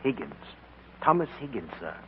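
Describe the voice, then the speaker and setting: A man's voice: mid-range voice, very animated, moderate pace, natural voice. A man playing a mild, naive, deferential store detective in a 1940s radio comedy, polite and earnest. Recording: scratchy, tinny 1940s radio broadcast.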